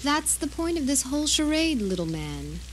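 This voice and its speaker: Vengeful, taunting captor, highish voice. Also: female voice, big pitch swings. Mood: taunting, menacing. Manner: sardonic, deliberate, taunting. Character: vengeful doomed female captor, bitter, taunting, coldly menacing. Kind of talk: audio drama acting. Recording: faintly noisy radio drama recording.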